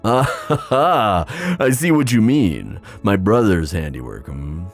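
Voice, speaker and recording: A man playing a wistful, theatrical sea god in an ASMR fantasy roleplay, musing with hesitant pauses and drawn-out phrasing. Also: fairly low pitch, expressive, faint music behind, heavily acted. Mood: amused, wry.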